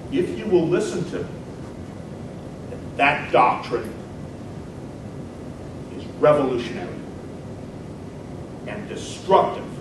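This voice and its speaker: A man playing a combative 19th-century American senator, delivering a dramatic debate speech in an emphatic, deliberate oratorical manner. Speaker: performer in a historical dramatic reading. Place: large echoey indoor hall, recorded from afar. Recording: very echoey hall, distant mic, rumbling noise.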